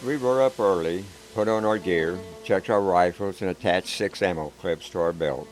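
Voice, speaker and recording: Deep-voiced man narrating over music. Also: normal speed, low-quality recording. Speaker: storyteller. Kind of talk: scripted first-person narration. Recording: background music.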